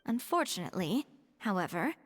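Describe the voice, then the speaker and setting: An actress playing a small, chatty, cheeky companion in a video game. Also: female voice, mid-range voice, very animated, a little fast, long reverb tail, faint music behind, close to an everyday voice. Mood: explanatory. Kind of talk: video game character acting.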